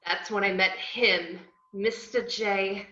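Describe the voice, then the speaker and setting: A woman playing a brash, bubbly, unhinged young antiheroine, narrating her own story with cheeky, expressive delivery in a table read. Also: medium pitch, takes it slowly. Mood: lovestruck. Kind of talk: first-person scripted narration in an amateur table read. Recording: roomy, muffled online call audio.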